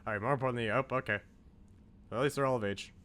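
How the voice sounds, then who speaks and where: Male game streamer, mid-pitched, chatty and informal, drifting between asides and reading aloud. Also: racing, a bit bright. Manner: chatty, talks to viewers, rambling asides. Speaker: streamer, video game host. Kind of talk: casual livestream chat with on-screen text read aloud.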